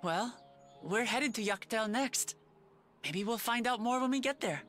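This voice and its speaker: Eager, fairly high-voiced young adventurer. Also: female voice, measured pace, fairly bright tone, faint music behind. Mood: earnest, hopeful. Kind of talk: video game cutscene acting.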